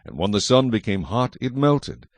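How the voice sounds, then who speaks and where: A man narrating scripture from a script as a solemn, measured storyteller. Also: mid-range voice, expressive, no echo.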